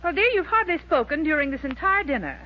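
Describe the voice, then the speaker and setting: A woman's voice: high voice, very animated, quick pace. An actress playing a patient, placating housewife and mother with an American accent in a 1940s radio sitcom. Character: patient, soothing middle-aged housewife and mother. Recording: muffled old radio recording.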